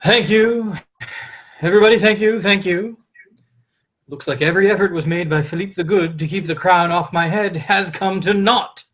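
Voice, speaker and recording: A man's voice: high voice, moderate pace, fairly bright tone. An actor playing a cocky, foul-mouthed young French king who gloats and swaggers like a stage showman, over a lo-fi online call. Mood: smug, triumphant. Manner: boastful, indignant, swaggering. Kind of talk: theatrical comic monologue in a table read. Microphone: video call.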